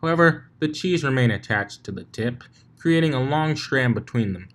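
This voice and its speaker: A man's voice: mid-range voice, animated, normal speed. Informal man narrating a story. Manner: informal, stumbling over the text. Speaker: fan fiction reader.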